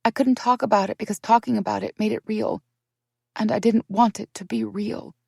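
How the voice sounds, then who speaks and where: A woman's voice: medium pitch, steady tone, moderate pace, vocal fry, a little mellow, slightly rough, no echo, studio quality, pitched above the performer's own voice. A woman reading an audiobook voices a distraught young woman confessing a painful secret, her speech pleading and breaking into tears.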